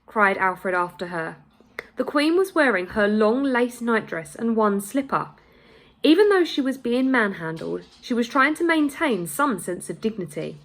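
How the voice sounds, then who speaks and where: Expressive woman teacher reading a story. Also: medium pitch. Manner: expressive storytelling with dramatic pauses and shifting pace. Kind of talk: reading a children's novel aloud.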